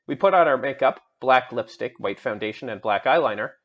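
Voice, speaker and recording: A man's voice: mid-range voice, animated, a little fast, lo-fi sound, lightly acted. A man voicing a melodramatic teenage goth vampire schoolgirl in an emphatic, petulant dramatic fan fiction reading. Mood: earnest, dramatic. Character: melodramatic seventeen-year-old goth vampire schoolgirl narrator.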